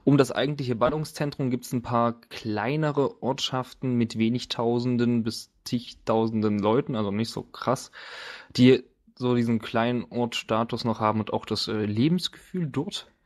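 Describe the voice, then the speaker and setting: A man narrating as game master of a tabletop role-playing session in a casual, joking, halting way. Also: mid-range voice, a little mellow.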